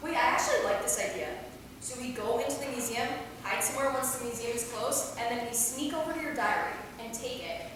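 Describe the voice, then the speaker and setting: A woman playing a cocky, mischievous, excitable young female vampire in a staged play reading, with a sassy, boastful delivery. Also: a little high, fairly quick, lightly acted. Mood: cocky, excited. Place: theater hall, recorded from a distance. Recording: very echoey hall, far mic, noisy.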